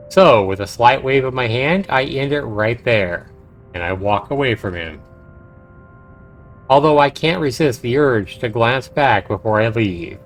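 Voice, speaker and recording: A man livestreaming a game, commenting and reading visual novel text aloud in an expressive, dramatic way. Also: mid-range voice. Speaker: game streamer.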